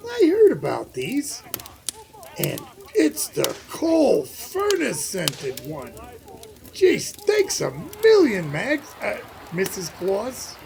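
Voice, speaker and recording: An actor playing a hearty, folksy man as Santa in an old-time radio comedy, with a gravelly voice. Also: sits high, wildly swooping pitch, slow pace, noisy background, full character voice. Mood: excited.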